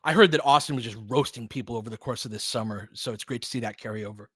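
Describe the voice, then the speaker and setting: A man's voice: medium pitch, racing, fairly bright tone, breathy edge, no room sound. Male American fan commentator for audio basketball play-by-play, colloquial and partisan, recorded dry. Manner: partisan fan, colloquial, clipped present-tense phrases.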